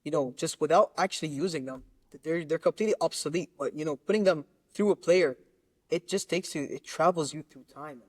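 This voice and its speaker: A man from Lebanon who grew up in Qatar, talking casually as a podcast guest in a halting, rambling way, repeating words as he searches for phrasing. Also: a little high, dry recording. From Lebanon, raised in Qatar. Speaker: musician interview guest.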